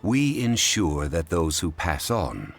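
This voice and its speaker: A composed, learned funeral consultant from a video game. His voice sits very low and moves with lively, deliberate inflection. He speaks in formal, old-fashioned, measured sentences with calm dignity, over clearly audible music. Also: heavily acted. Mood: composed, solemn. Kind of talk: scripted video game character dialogue.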